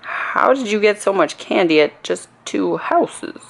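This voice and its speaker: A woman's voice: medium pitch, expressive, measured pace, creaky, voice pushed up, poor audio. A woman voicing a blustering male policeman in a storybook, stern, incredulous and boastful. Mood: skeptical.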